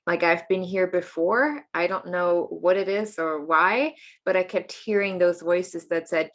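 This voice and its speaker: A woman from Germany speaks in a fairly low voice. She is in her late thirties and tells her personal story in an interview, open and chatty, often breaking into laughter. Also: fairly bright tone. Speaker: guest, coach and healer.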